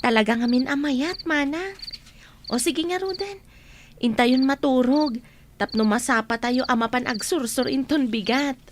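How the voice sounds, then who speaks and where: Very high-voiced Ilocano guest. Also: female voice, a little slow, background noise. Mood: content, agreeable. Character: appreciative younger female guest visiting the village. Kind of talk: Filipino radio drama acting.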